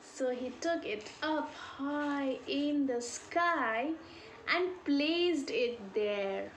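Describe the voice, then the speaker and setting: A woman telling a folk tale to children in an expressive way, drawing out key words and putting questions to her listeners. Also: high voice, extremely slow, slight background noise. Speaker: storyteller.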